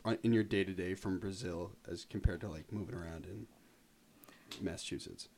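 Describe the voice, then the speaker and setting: Man, lowish voice, casual slang, podcast host. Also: steady tone, brisk, gravelly, warm tone, slight background noise. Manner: laid-back, slangy, curious. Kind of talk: casual interview chat.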